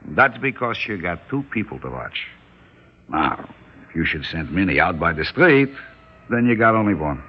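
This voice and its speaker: A wry older shopkeeper from a vintage radio drama. His low voice moves in very lively swings as he needles calmly in Yiddish-inflected phrases. The sound is muffled. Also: moderate pace, slight background noise. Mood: wry, bargaining. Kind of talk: classic radio drama acting. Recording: muffled old radio broadcast.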